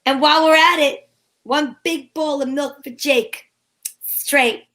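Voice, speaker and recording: A woman's voice: sits high, steady tone, slow, fairly bright tone. A woman playing a sassy, self-assured female private detective in an old-time radio drama, with wry delivery. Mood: smug.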